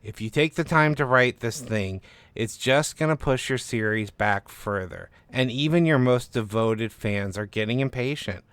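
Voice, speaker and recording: A man's voice: mid-range voice, normal speed, a bit bright, clean tone, no echo, lightly acted. A man voicing a blunt, sarcastic, pragmatic literary agent in a short story reading, arguing emphatically and skeptically. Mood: insistent, weary.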